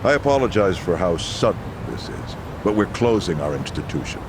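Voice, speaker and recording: A man's voice: sits low, big pitch swings, a little fast, background noise. A man playing a businesslike general manager in a video game, speaking formally, with polite firmness. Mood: formal, apologetic. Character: businesslike male care home manager, formally apologetic.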